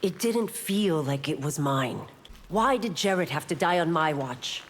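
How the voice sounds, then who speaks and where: Low-voiced stern constable. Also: female voice, lively intonation, measured pace, in a large hall. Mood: stern, doubting. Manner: serious, questioning. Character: stern, sceptical female law constable. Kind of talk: scripted fighting game dialogue.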